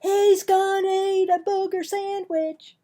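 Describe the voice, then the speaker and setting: A woman reading a children's storybook, voicing a loud, taunting schoolboy bully who stretches out his gleeful jeers. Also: very high voice, flat delivery, slow, pitched above the performer's own voice. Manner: gleefully jeering, stretching words out. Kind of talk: children's storybook read-aloud.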